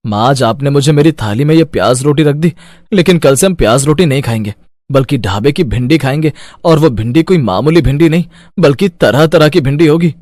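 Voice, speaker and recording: A man's voice: on the high side, animated, fairly quick, darkish tone, dry recording, clean studio sound, close to an everyday voice. A man voicing an earnest, dutiful teenage son in a cartoon moral story, explaining things reassuringly. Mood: eager. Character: earnest, dutiful fifteen-year-old son of poor mother. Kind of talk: animated moral-story dialogue.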